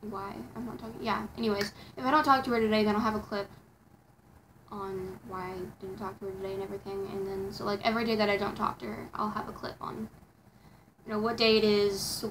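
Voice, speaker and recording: A teenage girl with a raspy voice, talking to her camera in a rambling, casual vlog full of fillers and restarts. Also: medium pitch, a bit bright, slight background noise, low-quality recording. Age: teens. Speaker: vlogger.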